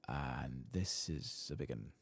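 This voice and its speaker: A soft-spoken man giving low-key ASMR commentary as he plays a game, muttering to himself. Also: slow pace, vocal fry, slightly rough, no echo. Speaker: gaming video host.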